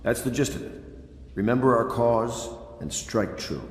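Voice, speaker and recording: An actor playing a resolute, grave leader of conspirators in a video game, deliberate and earnest as he briefs. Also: mid-range voice, animated, background noise, close to an everyday voice. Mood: firm, urging. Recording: echoey hall with long reverb.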